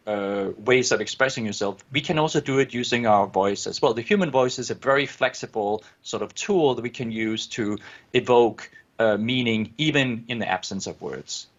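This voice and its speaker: A man with a Danish accent, a native Danish speaker, explaining research in an interview, with many fillers and restarts. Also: mid-range voice. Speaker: guest researcher. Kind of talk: academic interview answer. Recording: dull lo-fi audio.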